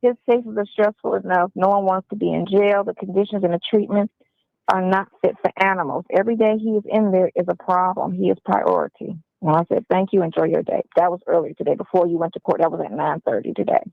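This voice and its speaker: Over a thin, band-limited phone line comes a woman with a creaky, gravelly voice. She talks casually but bluntly, insisting on her point and sounding defensive. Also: lowish voice, fairly even intonation, fast, no echo.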